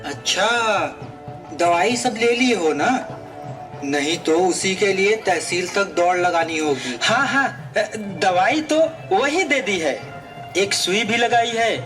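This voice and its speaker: A man's voice: high voice, lively intonation, slow, over music. A man playing a dutiful, agreeable village family man in a puppet show, speaking respectfully.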